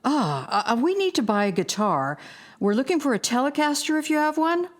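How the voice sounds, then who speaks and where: An actress playing a brisk, diplomatic rock band manager in an audio drama. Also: female voice, high voice, sing-song. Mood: polite, urgent. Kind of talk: naturalistic audio drama acting.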